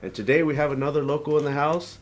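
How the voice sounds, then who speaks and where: A man hosting a podcast, asking questions in a relaxed, slangy way. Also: fairly high pitch, quick pace, slight background noise. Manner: laid-back, slangy, encouraging. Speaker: podcast host. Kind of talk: casual interview chat.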